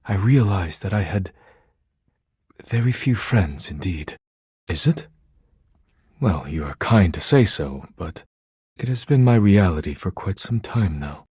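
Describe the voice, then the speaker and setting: A man playing a formal, earnest, reserved video game character with measured diction and a husky voice. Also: sits low, a little mellow, no echo, clean studio sound, heavily acted. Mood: sincere, grateful. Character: formal, earnest, reserved man, once workaholic, now tender. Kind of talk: scripted game dialogue, formal romance scenes.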